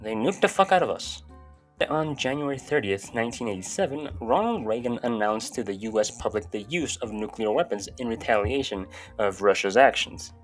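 A man's voice: mid-range voice, animated, moderate pace. A young man talks casually into a close desk mic in a small room. He is irreverent and swears freely, with music playing faintly behind him. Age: young adult. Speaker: YouTube video host. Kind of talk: casual video commentary. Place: small bedroom, indoors. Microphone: close desk microphone.

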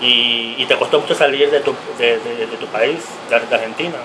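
A man's voice: mid-range voice, fairly even intonation, normal speed, background noise. A man hosting a casual celebrity interview in a small room, eager and chatty with many short replies. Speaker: interviewer and host. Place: small indoor room, seated close beside guest.

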